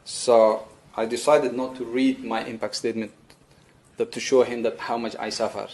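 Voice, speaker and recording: A man from Afghanistan with an Afghan accent giving a courtroom statement, earnest and halting but firm and resolute. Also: mid-range voice, level tone, darkish tone. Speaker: attack survivor giving a victim statement. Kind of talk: courtroom statement, partly spontaneous.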